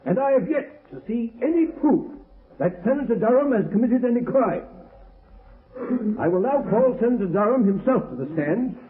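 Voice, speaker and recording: An actor playing an earnest, indignant defense attorney with formal, oratorical delivery in 1930s radio style. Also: male voice, extremely high pitch, lively intonation, normal speed. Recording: phone-like, muffled old radio recording.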